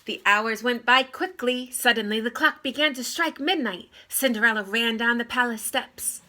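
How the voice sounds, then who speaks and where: A woman reading a fairy tale aloud to children with deliberate, expressive phrasing. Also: on the high side. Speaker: read-aloud storyteller. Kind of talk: expressive children's story narration. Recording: clear background noise.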